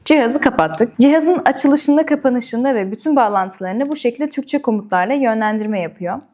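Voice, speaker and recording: A woman's voice: fairly high pitch, expressive, normal speed, a little mellow. A woman presenting a product review and unboxing, explaining step by step and addressing viewers directly.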